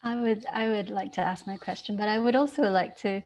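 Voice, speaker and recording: A woman's voice: mid-range voice, fairly even intonation, brisk, a little mellow, rough recording. A woman who sings alto in a choir, politely asking a long, hesitant question in casual chat.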